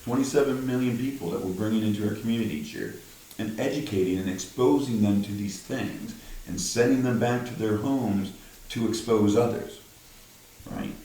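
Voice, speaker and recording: An older man with a gruff voice who talks directly, rambling to camera in a casual vlog and often tagging on "right?". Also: fairly low pitch, moderate pace, warm tone, heavy reverb, recorded from a distance, slight background noise, rough recording. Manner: rambling, many "right?" tags. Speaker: vlogger.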